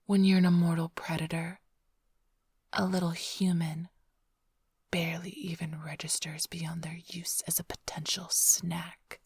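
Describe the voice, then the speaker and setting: A woman playing a flirtatious, smug immortal vampire thief in an ASMR roleplay, teasing the listener in a breathy, half-whispered, languid drawl. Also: fairly low pitch, flat delivery, measured pace, a little mellow, no room sound, clean studio sound, full character voice. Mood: wry, reminiscing. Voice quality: breathy, often sinking into whisper.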